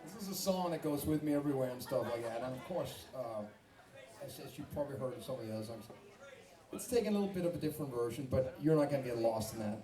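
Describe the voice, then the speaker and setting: A man's voice: mid-range voice, animated, fast, mellow. Rock frontman with a Danish accent, stage banter, loose and self-mocking, distant club recording with music behind. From Denmark. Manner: rambling, swearing, self-mocking. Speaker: rock singer telling a story on stage. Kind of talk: live stage banter between songs. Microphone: stage microphone through PA. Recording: roomy live club sound from far off.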